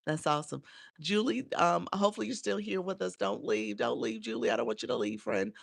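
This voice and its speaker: Congested, gravelly woman livestreaming. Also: fairly low pitch, expressive, a little fast, dry recording, clean studio sound. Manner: chatty and encouraging, greets viewers by name. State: congested, a little nasal from a cold. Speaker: live streaming coach. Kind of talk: casual livestream chat with viewers.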